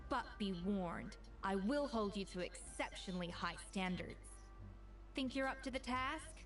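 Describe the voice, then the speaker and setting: A woman voicing a proud, exacting young master chef in a video game, crisp and self-assured. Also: mid-range voice, expressive, unhurried, fairly bright tone, soft background music. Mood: proud, stern. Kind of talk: video game character acting.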